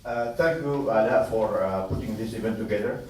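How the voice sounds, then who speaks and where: A man from Sudan giving an informal opening speech as an association president, pausing often. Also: on the low side, a little mellow, a little hiss or hum. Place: event hall at an academy. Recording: very echoey hall, distant mic.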